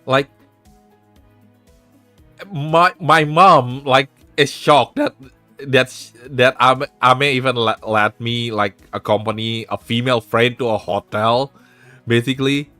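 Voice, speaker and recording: A man's voice: mid-range voice, steady tone, slow, bright, forceful tone, no echo, soft background music. A man hosting a live stream, giving frank relationship advice in casual chat, swearing freely and restarting his sentences. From Indonesia. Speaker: streamer host.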